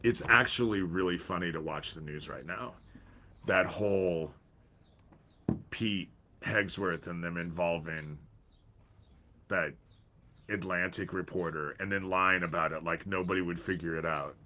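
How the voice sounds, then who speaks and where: A man hosting a casual livestream from his back porch, with a deep voice and a stuffy head cold, rambling with pauses and restarts. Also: pushed and bright, a little hiss or hum. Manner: rambling, pauses, self-interrupting. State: congested from a cold. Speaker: livestream host.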